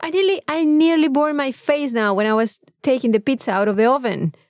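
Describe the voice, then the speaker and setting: A woman's voice: high-pitched, brisk, a little mellow, no room sound, studio quality. A woman with a Mexican accent and Spanish as her first language, chatting casually as a podcast co-host. She is effusive and repeats words for emphasis. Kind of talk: casual chat, anecdotes.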